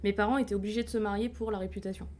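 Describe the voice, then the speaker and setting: A woman's voice: medium pitch, fairly even intonation, rapid-fire, darkish tone. A woman in her late twenties, a guest on a live stream, telling her life story casually with fillers and frequent laughs. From France. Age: 20s. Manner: chatty, laughs often, hesitant fillers.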